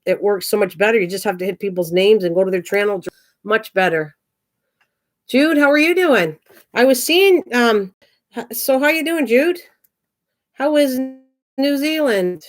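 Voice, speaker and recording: Woman, 60s, craft channel host, casual rambling live chat, answers viewers by name. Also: medium pitch. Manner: chatty, rambling, talks back to chat by name. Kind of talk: casual livestream chat reading viewer comments.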